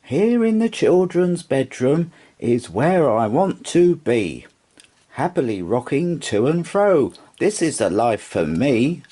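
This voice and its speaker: Man voicing an eager, high-pitched storybook rocking horse. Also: very animated, slow, mellow. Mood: contented. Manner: singsong, earnest storybook delivery. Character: wistful, eager male toy rocking horse.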